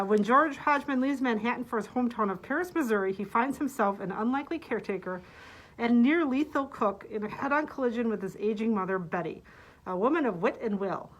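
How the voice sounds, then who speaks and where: Higher-voiced woman librarian presenting books. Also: animated, fairly quick, low-quality recording. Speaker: librarian presenting book picks. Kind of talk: book summaries read aloud with casual asides.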